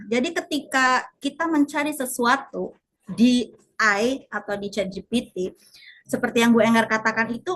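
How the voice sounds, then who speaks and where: A woman's voice: high voice, a bit bright, low-quality recording. A woman moderating a webinar in a polite, semi-formal but conversational way, checking in with her listeners.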